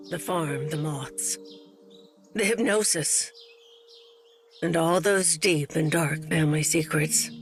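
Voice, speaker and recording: An actress playing a haunted, secretive older woman, grave and measured, in a dramatic game cutscene. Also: on the low side, a bit bright. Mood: ominous. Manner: solemn, measured.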